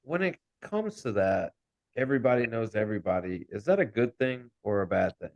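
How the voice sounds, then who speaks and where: A man co-hosting a podcast, teasing and animated, who often stumbles over the first words of a line. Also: fairly low pitch, a little fast, lo-fi sound. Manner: animated, teasing, stammers at starts. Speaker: podcast co-host. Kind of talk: casual interview chat.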